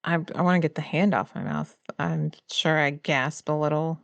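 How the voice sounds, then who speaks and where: A woman's voice: low-pitched, animated, moderate pace, vocal fry, dry recording, dull audio. A woman chatting casually as a player in a tabletop role-playing game, speaking informally for her character. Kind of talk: casual improvised game chat.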